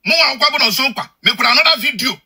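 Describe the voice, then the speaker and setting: Urgent man, high bright husky voice. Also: very fast. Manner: urgent, repetitive, switching between Igbo and English. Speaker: Igbo-language broadcaster. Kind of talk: impassioned appeal in Igbo mixed with English.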